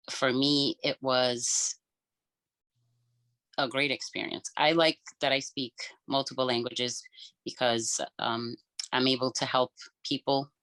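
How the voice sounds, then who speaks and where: A woman whose first language is Cape Verdean Creole talks in a casual podcast chat. Her voice is bright and sharp, and it is recorded dry and close with no room sound. She thinks aloud and pauses often. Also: extremely low pitch, no room sound, poor audio. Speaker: podcast co-host. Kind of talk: casual personal conversation.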